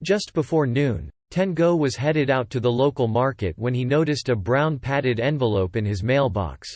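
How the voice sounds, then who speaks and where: A lively, varied mid-pitched male voice, recorded close and dry. He reads scripted fiction as an audiobook narrator. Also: dry recording.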